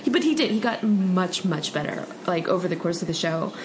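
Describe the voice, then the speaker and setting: A woman's voice: fairly low pitch, lively intonation, unhurried. Chatty woman with faint background hum. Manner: laughs often, chatty, many "like" fillers. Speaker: podcast co-host. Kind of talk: casual chat.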